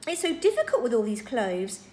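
A woman's voice: on the high side, wildly swooping pitch, a little fast, mellow, slight background noise. A woman voicing a flustered old woman in a storybook, with a plaintive, sighing manner.

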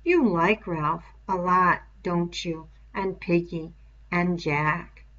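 A woman's voice: fairly low pitch, a bit bright. A woman voicing a taunting beast's head, menacing. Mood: wheedling. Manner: taunting, slow and mocking like a stern schoolmaster. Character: menacing sneering fly-covered pig's head spirit. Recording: dull lo-fi recording.

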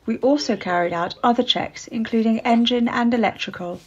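A woman, the boat's skipper, narrating a sailing voyage in a calm, measured voice-over. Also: a little high, husky, dull audio.

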